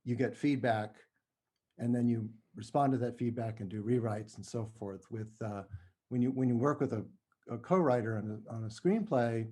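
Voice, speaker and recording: A male writer being interviewed, with a soft-edged, mellow tone at an average pitch. He explains things in a conversational way, stopping and restarting as he searches for the exact word. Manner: halting, careful with word choice. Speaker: playwright and screenwriter guest.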